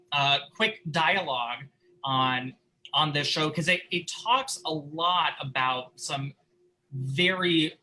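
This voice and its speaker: A man hosting an informal post-show discussion, talking in halting, repeated phrases. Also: a little high, sharp tone, low-quality recording. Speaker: host and moderator.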